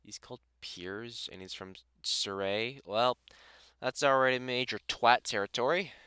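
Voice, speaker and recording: Guy acting as middle-aged british vampire hunter, teasing and sweary but kind, crisp voice, really dry close audio roleplay. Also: medium pitch, measured pace, sharp tone, no room sound. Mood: scornful, amused. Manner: teasing, sweary, blunt, affectionate. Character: gruff, kindly middle-aged male vampire hunter and carpenter. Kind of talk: audio roleplay acting, intimate one-sided conversation.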